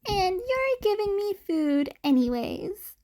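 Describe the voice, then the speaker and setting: A woman voicing a small, timid cat-boy in a podfic story, with a quiet, hesitant, pleading voice. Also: very high-pitched, very animated, takes it slowly, pitched above the performer's own voice, exaggerated voice. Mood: hopeful, cute. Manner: hesitant, pleading, breaking off mid-sentence. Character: frightened small cat-boy, timid, wary, cheekily cute.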